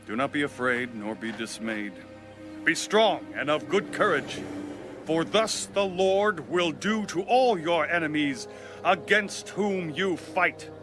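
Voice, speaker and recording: Ancient war leader for audio Bible drama: authoritative, higher-pitched, unhurried. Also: male voice, over music. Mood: resolute, exhorting. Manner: slow, authoritative commanding delivery. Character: commanding male military leader of ancient Israel.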